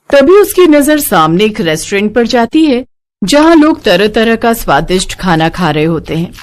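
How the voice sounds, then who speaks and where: A woman narrator reading a scripted moral bedtime story. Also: medium pitch.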